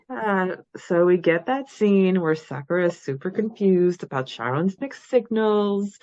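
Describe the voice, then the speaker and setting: A woman's voice: on the low side, lively intonation, measured pace, darkish tone, no room sound, highs cut off. A woman co-hosting a podcast, chatting casually and conversationally about a book, with a giggly manner. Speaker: podcast co-host. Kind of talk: casual book discussion chat.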